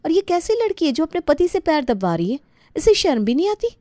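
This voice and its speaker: A gossipy young sister-in-law, put on by a woman narrator, exclaims and taunts in a raised pitch. She is a mocking figure from a family comedy story, recorded dry. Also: sing-song, fairly quick, warm tone, no echo. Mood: scandalised.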